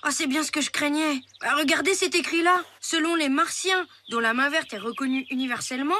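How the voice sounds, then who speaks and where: A woman voicing an earnest, nervous, imaginative young boy in an animated series. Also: high voice, a little fast, slight background noise. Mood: thoughtful. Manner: hesitant, earnest. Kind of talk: French animated series dialogue. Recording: loud music behind the voice. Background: loud music.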